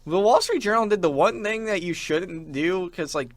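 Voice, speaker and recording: A man's voice: sits high, lively intonation, normal speed, no room sound. A man hosting a show with casual, rambling chat about games and internet culture, acting out imagined quotes as he goes. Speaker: show host. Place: small radio studio.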